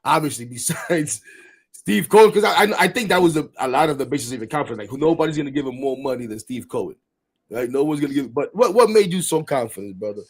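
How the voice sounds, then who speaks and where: A man in his forties hosting a casual sports talk show, animated and rambling, repeating words and laughing often. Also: a little high, fast, breathy edge. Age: 40s. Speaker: podcast host.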